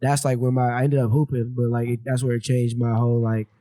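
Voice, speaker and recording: A man's voice: medium pitch, flat delivery, quick pace, mellow, dry recording. Young man telling loose, rambling stories. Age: 20s. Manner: loose, rambling storytelling with slang and restarts. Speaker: podcast guest. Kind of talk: casual chat.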